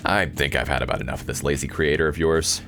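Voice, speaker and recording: A man voicing a jaded, sardonic newcomer protagonist in a visual novel, deadpan and unimpressed. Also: rumbling low, quick pace, over music.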